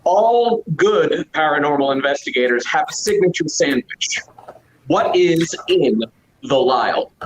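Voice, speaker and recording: A man's voice: a little high, animated, a little slow, slight background noise, low-quality recording. A man moderating a casual podcast Q&A and reading fan questions, upbeat and earnest with fillers, over a video call. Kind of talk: casual Q&A chat. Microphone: remote mic over a video call.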